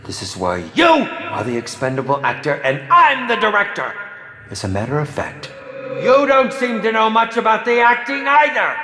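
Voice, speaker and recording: A man's voice: high voice, wildly swooping pitch, normal speed, bright, forceful tone, heavily acted. A man playing a pompous, maniacal horror film director in a video game, theatrically exasperated and melodramatic. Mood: outraged shrieking, then haughty indignation. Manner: pompous, melodramatic, swooping from sly to shrieking. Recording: echoey hall reverb with music behind.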